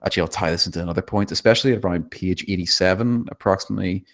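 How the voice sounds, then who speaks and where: A man's voice: low-pitched, racing. A man giving spontaneous script feedback, thinking aloud in a hesitant, self-deprecating, hedging way. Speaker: table read participant giving script feedback.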